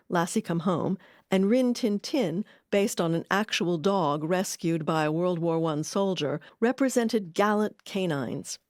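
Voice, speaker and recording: A woman's voice: fairly low pitch, darkish tone, no room sound, studio quality. A woman delivering scripted documentary narration.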